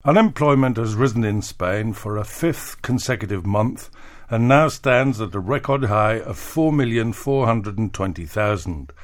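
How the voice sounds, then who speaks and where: Male newsreader, lower-pitched voice, scripted radio bulletin style. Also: lively intonation, moderate pace. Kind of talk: scripted news reading.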